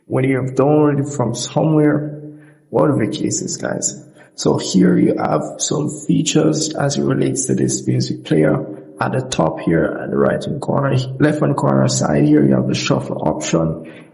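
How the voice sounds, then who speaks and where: A man's voice: mid-range voice, normal speed, creaky, dark tone, rough recording. A man narrating an informal app tutorial as its host, explaining in a repetitive way and correcting himself.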